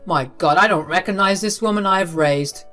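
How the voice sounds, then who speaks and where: A woman's voice: low voice, animated, moderate pace, fairly bright tone, soft background music. A woman voicing a stern, wealthy elderly father who scolds firmly. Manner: firm, scolding.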